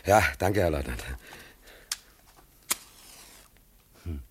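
An actor playing a guileless, cheekily unruffled German drifter held prisoner, speaking deferentially with dry humour in a radio play. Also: male voice, low-pitched, expressive, fairly quick, slight background noise. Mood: polite, unfazed. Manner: naive, deferential, drily humorous.

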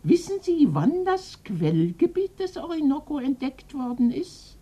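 Slow, theatrical eccentric old lady. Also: high-pitched, big pitch swings, warm tone. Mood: nostalgic, grand. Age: older. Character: eccentric old lady, dreamy, proud former jungle traveller. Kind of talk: 1990s German radio mystery play.

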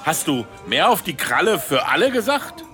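An actor playing a crude, cocky candidate for chancellor, blunt and slangy, in a satirical radio play. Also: male voice, on the high side, sing-song, moderate pace, sharp tone, soft background music. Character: crude, cocky working-class man running for chancellor.

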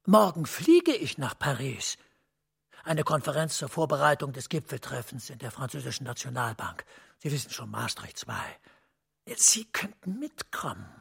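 An actor playing a commanding senior official in a German radio play, husky and breathy, speaking deliberately and dropping to near-whispers. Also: male voice, medium pitch, very animated, full character voice. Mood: brisk, commanding. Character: commanding male senior official, guarded, urgent, conspiratorial.

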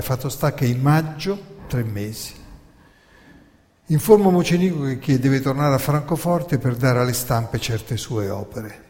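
A man's voice: mid-range voice, warm tone. Emphatic man lecturing in a reverberant hall. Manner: emphatic, with dramatic pauses. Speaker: narrator of a staged history lecture. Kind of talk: theatrical lecture.

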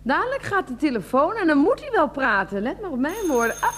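An actress in a radio play playing an impatient, sharp-tongued woman who gives curt, scornful orders. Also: high-pitched, very animated, a little fast, a little hiss or hum. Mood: dismissive.

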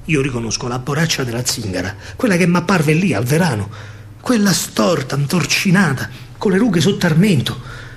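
A male stage storyteller with a Roman accent delivers dramatic verse. His pitch moves widely and his delivery is emphatic and theatrical, shifting between hushed and loud. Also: expressive, moderate pace.

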